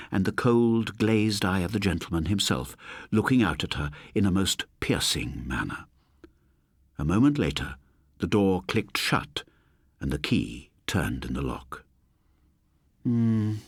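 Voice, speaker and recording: Low, expressive, husky male storyteller. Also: no echo, studio quality. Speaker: audiobook narrator. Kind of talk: scripted suspense story narration.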